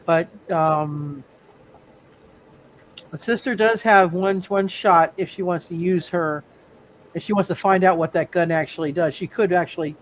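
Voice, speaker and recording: A man narrating a tabletop roleplaying game as game master, speaking haltingly and often repeating his words. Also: mid-range voice, slight background noise, low-quality recording.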